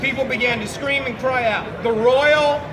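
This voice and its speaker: Very high male voice, theatrical comic storyteller, halting delivery, self-interrupting asides, noisy crowded venue. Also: fairly even intonation. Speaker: storyteller leading an audience word game. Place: busy public venue with a crowd. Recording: noisy crowded public space, loud background bustle.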